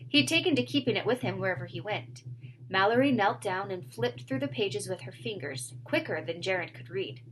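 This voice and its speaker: A woman's voice: mid-range voice, expressive, moderate pace. Woman reading a story aloud, noisy recording. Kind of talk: children's read-aloud narration with casual asides.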